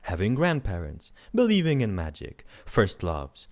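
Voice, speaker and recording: A man's voice: on the low side, huge pitch swings, a little slow, darkish tone, exaggerated voice. A man playing a dry, sarcastic spirit guide to the dead in an audio drama. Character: wry male spirit guide to the afterlife.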